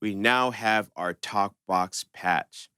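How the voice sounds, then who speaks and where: A man's voice: lowish voice, slow, sharp tone, smooth, no echo, studio quality. A man hosting a synthesizer tutorial, talking in an informal, conversational way as he explains things step by step. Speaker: host of a synth tutorial channel. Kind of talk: casual step-by-step music tutorial.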